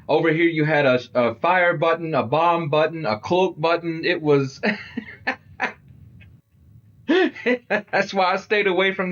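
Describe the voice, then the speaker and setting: Middle-aged male stream host, loud and talkative, slightly high voice. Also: highs cut off. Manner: loud, chatty, talks to viewers. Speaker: livestream host. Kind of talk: casual gaming livestream chat.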